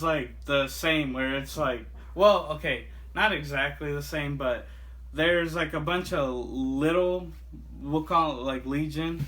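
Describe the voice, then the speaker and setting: A man's voice: a little high, fairly bright tone. A man reviewing a monster movie in a casual, rambling way, full of fillers and restarts. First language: not Japanese. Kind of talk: casual chat.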